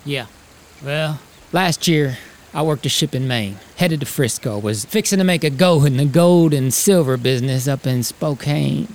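Guy acting a volatile old west drifter, bit high pitched, folksy hustler talk, screenplay drama. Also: normal speed, darkish tone, close to an everyday voice. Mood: matter-of-fact. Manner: folksy, slangy frontier talk. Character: volatile, ambitious drifter brother pitching a timber scheme. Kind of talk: acted screenplay reading.

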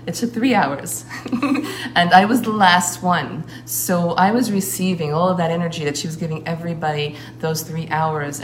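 Woman, low voice, shamanic priestess, earnest, flowing, pauses to find words, roomy sound, clear background noise. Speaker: shamanic priestess and guest speaker. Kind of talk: casual spoken account.

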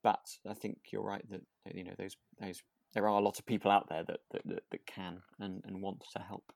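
A man's voice: deep voice, flat delivery, brisk, creaky, darkish tone, no room sound, studio quality. A man co-hosting a casual podcast, speaking hesitantly and repeating words as he thinks. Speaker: podcast co-host. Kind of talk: casual chat about a radio drama.

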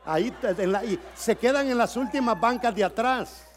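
A middle-aged man from El Salvador preaching a sermon at a church conference, dropping to quiet storytelling and then building to shouted exhortation. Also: extremely high pitch, moderate pace.

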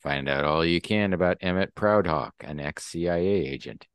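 A man voicing a brisk, knowing informant who speaks in a clipped, confidential manner. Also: low-pitched, smooth, no echo, close to an everyday voice.

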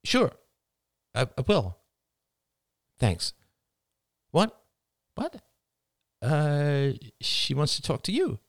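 A man's voice: fairly high pitch, wildly swooping pitch, very slow, gravelly, pauses often, voice pushed up, heavily acted. Audiobook role: anxious, courteous young mum, raised voice with lots of movement man performing. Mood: polite, hesitant. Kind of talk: audiobook character voice.

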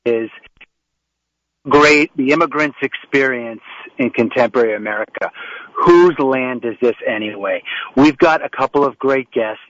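A man's voice: medium pitch, fairly bright tone, no room sound. A man hosts an interview show from home over a remote line. He talks in a mid-range voice, circling back and restarting his sentences as he frames a question. The sound is dry and slightly muffled, with no room around it. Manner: rambling, with frequent restarts and fillers. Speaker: talk show host. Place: home setup in New York. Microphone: remote call setup.

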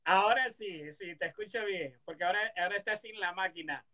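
A man hosting a streamed interview, asking questions in a halting way and often repeating his words. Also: high voice, bright, forceful tone. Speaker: host.

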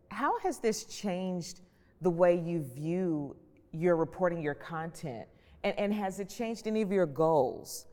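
A woman's voice: sits low, darkish tone. A woman hosting a panel interview, putting questions in a conversational way. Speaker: host and moderator. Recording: long echoing reverb.

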